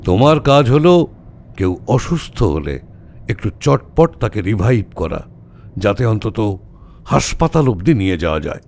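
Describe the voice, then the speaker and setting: A man's voice: fairly low pitch, big pitch swings, unhurried, a little mellow, a little hiss or hum. An actor playing a brusque, sardonic older male detective who gives orders in a wry, clipped way in an audio drama. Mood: matter-of-fact. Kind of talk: audio story acting.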